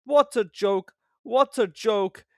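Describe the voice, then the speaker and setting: A man's voice: high-pitched, slow pace, fairly bright tone, no room sound, studio quality, voice pushed up, exaggerated voice. A man voicing a pompous, hearty big express engine in a grand, blustering manner. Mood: mocking amusement.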